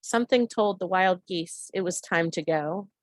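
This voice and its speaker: A woman's voice: fairly low pitch, normal speed, no room sound. A woman reading a short poem aloud as a member of a congregation. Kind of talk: poetry reading.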